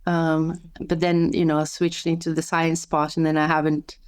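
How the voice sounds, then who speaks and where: A woman from Brazil speaks in a smooth, resonant, slightly low voice, chatting as a podcast guest. She talks casually and restarts her sentences often. The sound is close and dry, with no room around it. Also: level tone, moderate pace, darkish tone, dry recording. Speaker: podcast guest, sleep health coach. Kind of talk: casual interview chat.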